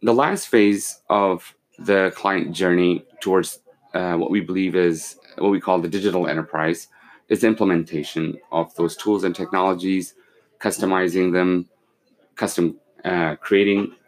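CEO guest, forties, very low voice, steady and measured, filler-heavy. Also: male voice, rough recording. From born in Pakistan, raised in London and Kuwait. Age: 40s. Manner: measured, with many fillers and restarts. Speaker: company CEO, podcast guest. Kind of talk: casual interview, explaining business.

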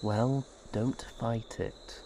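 An actor playing a gentle, guilt-ridden older brother in a fantasy audio drama, speaking in a hesitant, earnest way. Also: male voice, medium pitch, extremely slow. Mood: caring, teasing. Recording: insect ambience with steady background noise.

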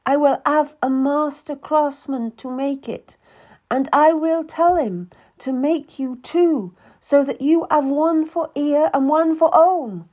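A woman doing a young lady of rank, volatile and tearful, who pleads high and close to breaking, then drops into hushed whispers. Her voice sits well above the reader's own and stays warm and unhurried. It is a storybook character, read aloud. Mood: pleading.

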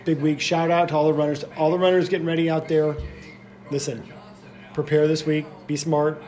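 Chatty, slightly high-voiced male podcast host. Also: flat delivery, a little fast, darkish tone, soft background music. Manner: chatty, full of "like" fillers. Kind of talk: casual podcast chat.

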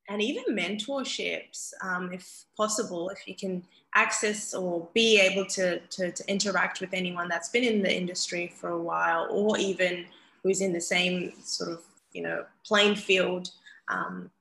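A woman's voice: on the low side, a little slow, creaky, fairly bright tone, a little hiss or hum, low-quality recording. A young woman with a mixed Zimbabwean and Australian accent, giving reflective conversational interview answers peppered with "you know". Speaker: personal trainer, interview guest.

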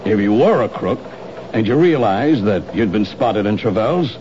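A man's voice: fairly low pitch, lively intonation, normal speed, a little mellow, lightly acted. Dry doubtful detective voiced by a man, old dull broadcast, lots of hiss. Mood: skeptical. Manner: dry, drawling, suspicious. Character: skeptical, wry middle-aged police lieutenant. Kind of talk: 1970s radio drama acting.

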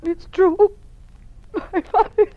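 An actress playing an earnest, idealistic woman schoolteacher in an old-time radio drama, speaking with deliberate, dramatic feeling. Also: very high voice, fairly even intonation, no echo. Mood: shocked, grieving. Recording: dull, band-limited old radio recording.

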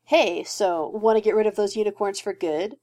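A woman's voice: medium pitch, normal speed, dark tone, close to an everyday voice. A woman reading a story aloud, voicing a cocky, casual man who teases. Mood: teasing. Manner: casual, teasing. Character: cocky casual male billionaire, teasing. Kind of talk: fan fiction reading.

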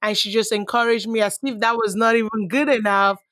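A woman guest speaking in a lively, talkative way in an interview. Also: fairly high pitch, dry recording, studio quality. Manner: lively, talkative, quick bursts. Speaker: guest, online seller. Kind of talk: casual interview answers.

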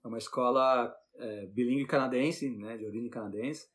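A man's voice: mid-range voice, a little fast, a bit bright. A Brazilian man with a Brazilian Portuguese accent, a teacher chatting as an interview guest, rambling with many restarts and tag questions. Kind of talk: casual conversational interview.

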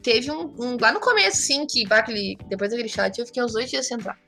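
South brazilian woman, bright highish voice, slangy candid storytelling, music playing behind her. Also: fast, gravelly. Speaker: interview guest. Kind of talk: casual anecdotal chat.

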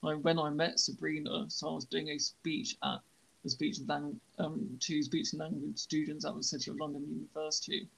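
A young man who stammers, hosting a podcast and speaking in a halting, reflective way. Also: fairly high pitch, completely flat delivery, vocal fry, fairly bright tone, poor audio. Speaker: podcast host. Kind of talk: podcast conversation.

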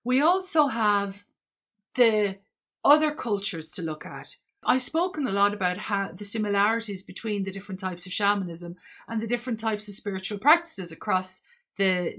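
A woman's voice: medium pitch, fairly bright tone. A woman from Ireland hosting a solo podcast, talking in a reflective, earnest way and thinking aloud with small hesitations. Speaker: podcast host.

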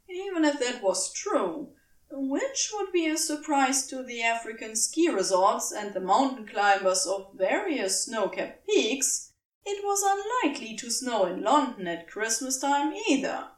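A woman reading a scripted story aloud as an expressive narrator. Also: on the high side, unhurried, reverberant, distant mic. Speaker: podfic narrator.

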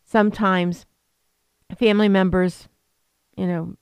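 A woman's voice: mid-range voice, steady tone, takes it slowly, clear, resonant tone, no room sound, clean studio sound. A woman attorney explaining elder law on a radio talk show, rambling with many fillers and restarts. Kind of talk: radio interview.

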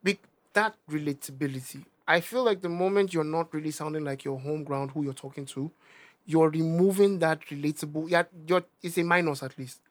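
Animated young Nigerian man, slightly high. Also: fairly quick. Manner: animated, emphatic, repeats himself. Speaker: rapper guest. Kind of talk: casual interview chat.

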